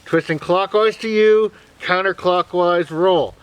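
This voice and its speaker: A man talking with cord held in his teeth, so his voice comes out nasal and muffled with a lisp, louder and higher than usual. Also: unhurried, smooth, dry recording.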